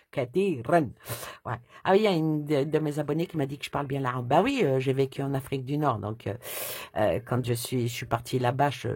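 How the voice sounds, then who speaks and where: A woman with a very husky, raspy voice talks her way through a tarot reading. She chats casually and rambles, joking as she goes. The sound is dry and close, with no room around her. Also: very deep voice, dry recording. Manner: chatty and rambling, with frequent hesitations and laughs. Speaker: tarot reader.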